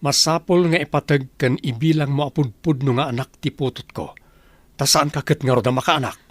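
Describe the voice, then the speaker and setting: A man's voice: medium pitch, fairly quick, no room sound, lightly acted. A man acting a cold, domineering rich husband in an Ilocano radio drama. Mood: stern.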